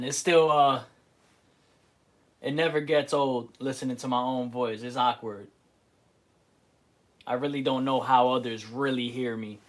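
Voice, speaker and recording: A young man with a New Jersey accent talks easily on a livestream, answering chat in a relaxed, slangy way full of little fillers. His voice sits at an ordinary middle pitch and comes through a plain computer mic. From New Jersey, USA. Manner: laid-back slangy talk with fillers. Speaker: artist livestreaming. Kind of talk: casual livestream chat, reading viewer comments.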